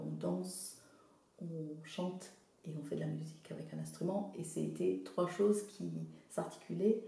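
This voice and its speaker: Woman from zimbabwe, english first language, gravelly low voice, lots of ums, echoey room. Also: dark tone. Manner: hesitant, searching for words, many fillers. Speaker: art therapist. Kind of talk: casual interview. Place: studio workshop.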